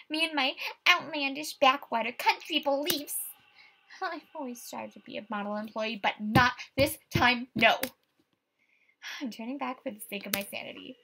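A woman's voice: fairly high pitch, pushed and bright, slight background noise. A woman voicing a frightened, superstitious young estate agent in a horror game, with a dramatic, tremulous delivery. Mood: panicked, agitated. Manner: dramatic, tremulous, breathy asides, outbursts. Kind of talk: horror visual novel voice acting.

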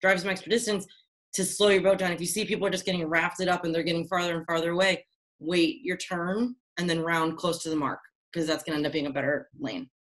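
Young adult woman, slightly low voice, casual and encouraging, video-call sound. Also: steady tone, fast talker, a bit bright. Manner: upbeat, chatty, encouraging. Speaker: Olympic sailor answering youth questions. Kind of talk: casual online Q&A.

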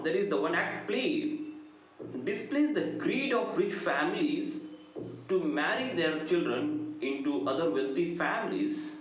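High-pitched South Indian man lecturing, echoey room. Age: middle-aged. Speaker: English lecturer. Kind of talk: lecture explaining a play. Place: small indoor room. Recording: very echoey room, faint noise.

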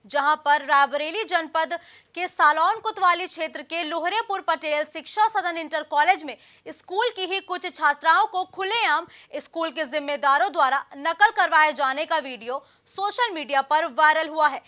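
A woman's voice: sits high, pushed and bright. A young woman reading a scripted news bulletin as an anchor, formal and emphatic. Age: young adult.

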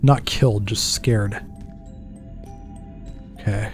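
A man in his thirties with a headset, chatting and joking to himself through a horror game, mocking his own mistakes and jumping up in pitch when something startles him. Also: fairly even intonation, slow pace, faint music behind. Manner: chatty, self-mocking, jumpy pitch swings. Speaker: gaming channel host. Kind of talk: horror game let's play commentary. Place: small dark room at a desk. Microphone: headset with desk mic.

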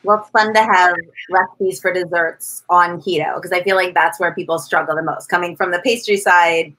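A woman's voice: on the low side, fairly even intonation, moderate pace, pushed and bright, slight background noise, low-quality recording. A woman in her thirties with a pastry background, chatting casually on a video call from her home kitchen. She is chatty and laughs between thoughts. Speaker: pastry baker guest.

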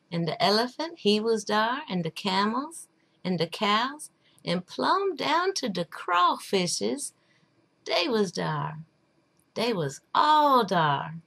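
A woman's voice: medium pitch, creaky, fairly bright tone, full character voice. A woman voicing a patient, wry old man telling a folktale in drawling, folksy dialect. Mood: folksy, explaining.

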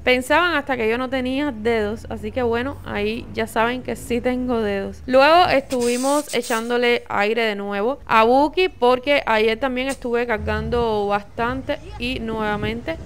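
A woman's voice: on the high side, a little slow, hardly any pauses, a little hiss or hum. Chatty Cuban woman vlogger. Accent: Cuban Spanish. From Cuba. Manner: chatty and informal, sends kisses to viewers. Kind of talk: casual vlog narration.

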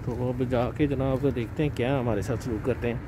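A middle-aged man from Pakistan, chatting casually in his vlog as he explains things slowly and keeps addressing the viewer politely. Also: medium pitch, steady tone, background noise. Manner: chatty, explains slowly, says "janab" often. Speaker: vlogger dad. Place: outdoors at a car wash and in a car.

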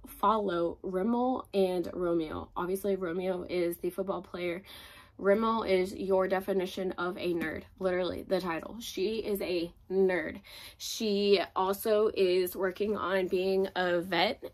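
A woman's voice: mid-range voice, fairly even intonation, a little slow. A young woman, chatting casually about books she loves, her voice cracking as she recovers from being sick. She talks in a gushing way, with lots of fillers. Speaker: book reviewer. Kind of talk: casual book recommendation vlog. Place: home room with bookshelves, indoors.

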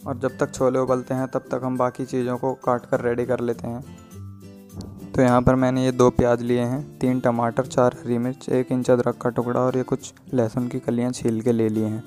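A man narrates a home cooking tutorial in a plain, instructional way. His mid-pitched voice stays on a very flat, unvarying line as he explains each step. Faint music plays behind him. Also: moderate pace. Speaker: home cook presenting a recipe.

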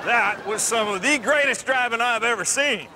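A man's voice: very high voice, normal speed, background noise. An actor playing a brash, self-important man with an emphatic delivery in broad TV comedy. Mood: self-assured.

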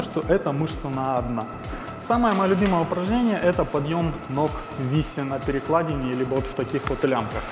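A man in his thirties, a fitness trainer, explaining ab exercises in a gym on a lapel mic, pausing with fillers. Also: fairly high pitch. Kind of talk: gym workout tutorial. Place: large indoor gym. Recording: echoey gym with music behind.

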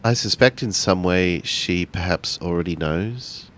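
A man playing a tabletop role-playing game, speaking for and narrating his character in a measured, halting way. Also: low-pitched, fairly bright tone, a little hiss or hum.

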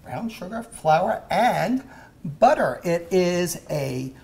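A man hosting a kids' cooking show in a home kitchen, talking in a halting way with many "uh" fillers. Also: high-pitched, very animated, creaky, a little mellow. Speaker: host.

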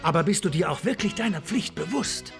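A level-headed male cat from an anime drama. He is caring but a little stern, speaking over clearly audible music. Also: high-pitched, animated, brisk. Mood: concerned. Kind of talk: German-dubbed anime radio play.

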